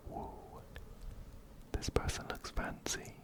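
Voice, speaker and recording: A man whispering softly and breathily in an ASMR gameplay commentary, close up to a binaural microphone, unhurried and often repeating his words. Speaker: ASMR gaming video host. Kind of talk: ASMR let's play commentary. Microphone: close-up binaural microphone, ear to ear.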